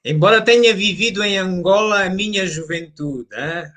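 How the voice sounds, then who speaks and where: A man's voice: sits high, normal speed, pushed and bright. A man from Portugal with a European Portuguese accent, chatting as a guest on a live online conversation. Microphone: video call.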